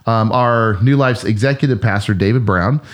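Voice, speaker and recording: A man's voice: fairly low pitch, moderate pace. A man who is a pastor, hosting a casual podcast in a playful, rambling way and laughing often.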